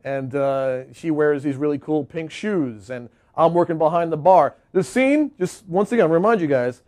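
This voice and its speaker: A man's voice: a little high, moderate pace, darkish tone. A man casually hosting a web show in a chatty, rambling way as a filmmaker. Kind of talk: casual presenting to viewers.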